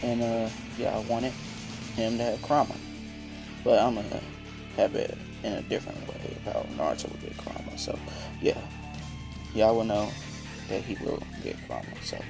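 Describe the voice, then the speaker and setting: A man with a raspy, just-woken-up voice telling a fan story in a casual, rambling way. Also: on the low side, steady tone, moderate pace. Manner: rambling, laid-back, lots of fillers. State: just woke up, dry voice. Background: background music.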